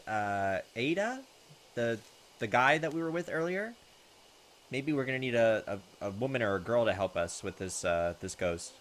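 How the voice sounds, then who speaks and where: A man's voice: mid-range voice, a bit bright, a little hiss or hum. A man hosting a let's play, reading visual novel text aloud and chatting in a hesitant, thinking-aloud way. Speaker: gaming video host. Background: game music.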